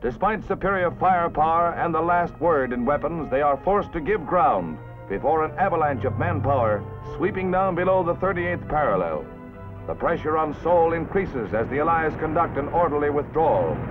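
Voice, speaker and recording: A man's voice: on the high side, animated, normal speed, over music. An American man reading scripted 1950s newsreel narration with a dramatic, solemn, emphatic announcer delivery. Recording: muffled vintage 1951 newsreel soundtrack.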